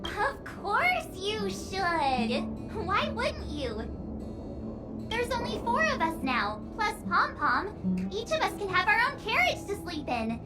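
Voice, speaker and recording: Bubbly, very high-voiced teenage girl. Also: slow pace, loud music behind the voice, heavily acted. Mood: encouraging. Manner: chatty, eager, expressive. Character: bubbly, excitable, friendly teenage girl space traveller. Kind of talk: animated game cutscene dialogue.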